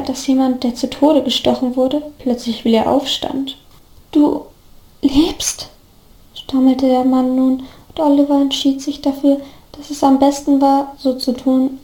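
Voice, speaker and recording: High-pitched woman reading a story, even tone. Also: moderate pace, echoey room. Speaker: story narrator. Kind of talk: scripted narration of a story.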